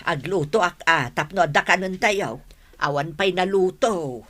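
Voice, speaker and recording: A woman's voice: fairly low pitch, unhurried, a bit bright. An actress playing an indulgent, fussing middle-aged farm mother in an Ilocano radio drama. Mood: placating.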